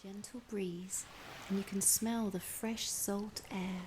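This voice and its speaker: A woman narrating a scripted guided imagery meditation in a soft, soothing, breathy voice, gently instructing with long pauses. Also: mid-range voice, fairly even intonation. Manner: unhurried, with long pauses between phrases. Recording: clear background ambience noise.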